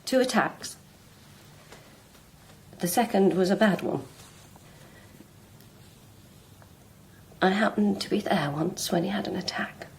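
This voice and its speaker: An actress playing a proud, lovelorn schoolmistress in a period radio drama, speaking frankly and deliberately with emotional intensity. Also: female voice, mid-range voice, creaky, a little hiss or hum, lightly acted. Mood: grave, quiet. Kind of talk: BBC radio drama acting.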